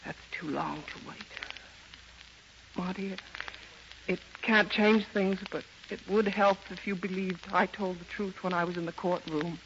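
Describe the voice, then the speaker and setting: A woman playing a convicted, loving and grief-stricken young mother in an earnest, halting 1930s radio drama style. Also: fairly low pitch, lo-fi sound. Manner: earnest, melodramatic, halting with emotion. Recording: bright, noisy, band-limited 1930s radio recording.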